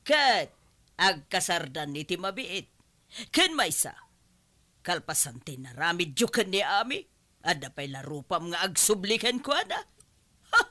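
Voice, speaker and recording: A woman's voice: on the low side, very animated, a little slow, pauses often, bright, forceful tone, heavily acted. A woman playing a stern middle-aged mother in a radio drama, scolding emphatically with an Ilocano Filipino accent. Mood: stern, reproachful.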